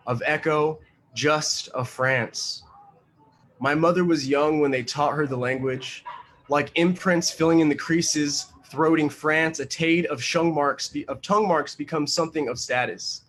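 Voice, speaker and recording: A man reading his own poems aloud over a video call, measured and rhythmic. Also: medium pitch, fairly bright tone, a little hiss or hum. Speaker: guest poet. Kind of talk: poetry reading.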